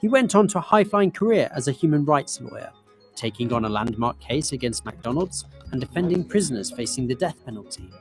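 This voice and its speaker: Lively male explainer narrator. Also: medium pitch, faint music behind. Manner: crisp and measured. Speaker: documentary narrator.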